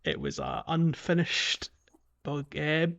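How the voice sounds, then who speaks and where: A man co-hosting a podcast in a casual, somewhat hesitant, halting conversational style. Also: a little high, fairly even intonation, creaky, fairly bright tone, lo-fi sound. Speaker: podcast co-host. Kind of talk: casual video game podcast discussion.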